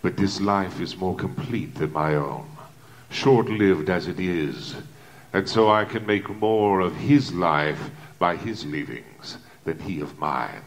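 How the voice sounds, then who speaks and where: A man playing a menacing, articulate killer alter ego with formal, deliberate, contemptuous speech in a Gothic audio drama. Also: low-pitched, exaggerated voice. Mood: coolly arrogant.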